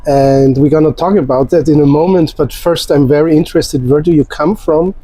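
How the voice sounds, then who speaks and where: A male interviewer speaks deliberately and without hurry, his voice mellow and warm. The recording is dry and close. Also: a little high, no room sound. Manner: deliberate, unhurried, fond of farming imagery. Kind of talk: interview questions.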